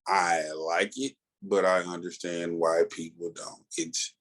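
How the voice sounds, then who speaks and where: A man's voice: low-pitched, level tone, takes it slowly, a bit bright. A man co-hosting a podcast in casual chat, with a laid-back, slangy, colloquial way of talking. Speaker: podcast co-host.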